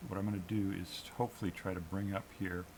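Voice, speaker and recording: An American man chatting casually and unscripted on a solo livestream, in a halting, hesitant, self-critical way. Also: low voice, little pitch movement, background noise. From New England, US. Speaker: amateur live streamer.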